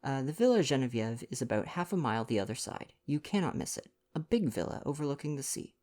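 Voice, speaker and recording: A man's voice: medium pitch, big pitch swings, fast talker, darkish tone, close to an everyday voice. A man voicing a helpful storybook villager who gives directions in a polite, matter-of-fact way. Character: helpful male local giving directions in a storybook.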